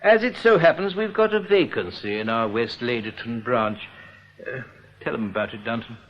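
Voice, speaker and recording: An actor playing a genial but evasive English bank executive in a radio play, patronising, persuasive and given to tactful hesitation. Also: male voice, medium pitch, normal speed, lightly acted. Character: smooth, evasive, genial male bank executive, senior boss. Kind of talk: British radio play acting. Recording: dull, hissy old radio recording.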